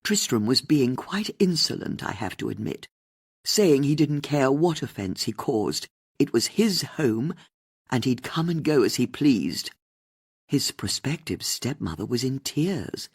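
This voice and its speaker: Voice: mid-range voice, big pitch swings, measured pace, slightly rough, heavily acted. An audiobook narrator voicing a haughty, indignant, upper-class older English lady who is opinionated and full of disdain. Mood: gossipy, indignant. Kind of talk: audiobook character dialogue.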